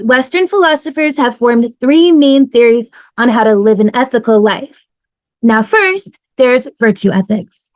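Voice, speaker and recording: Casting: woman as male philosophy professor in nihilistic meltdown, high register, dry close sound. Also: dry recording. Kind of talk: fan table read of a TV sitcom. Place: home, on a video call.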